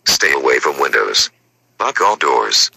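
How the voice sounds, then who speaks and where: A man's voice: very deep voice, very animated, unhurried, creaky, bright, forceful tone, breathy edge, no echo. A man reading a formal, scripted emergency warning as an alert announcer.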